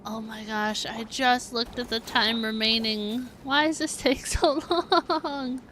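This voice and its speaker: High-voiced woman streaming a game, chatty. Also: steady tone, slow, a bit bright, a little hiss or hum. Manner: chatty, informal, self-deprecating. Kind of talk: casual live gameplay commentary.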